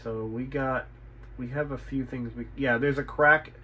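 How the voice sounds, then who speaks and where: A man's voice: mid-range voice, fairly even intonation, measured pace, sharp tone, slightly rough, rough recording. A man who works as a repair technician, talking unscripted as he inspects his work, thinking aloud, repeating himself and swearing mildly. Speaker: radio repair technician and channel host.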